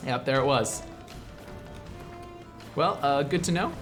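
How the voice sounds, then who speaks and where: A man's voice: mid-range voice, slow, over music. An American man from the Midwest hosting casual game commentary, rambling and explanatory with an enthusiastic manner. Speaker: show host, 3D artist.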